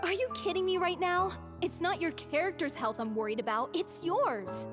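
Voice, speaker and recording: A woman's voice: very high-pitched, normal speed, over music. A woman voicing a fussy, scolding but caring young stage actor in a video game. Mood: scolding, worried.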